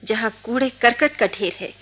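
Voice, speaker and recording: Defiant young lover, high-pitched, forceful, intense, poetic radio drama delivery. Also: female voice, moderate pace, lo-fi sound, soft background music. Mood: anguished, pleading. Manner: poetic, emotionally heightened delivery. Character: lovelorn, defiant, intense young woman in love. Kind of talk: Hindi radio drama acting.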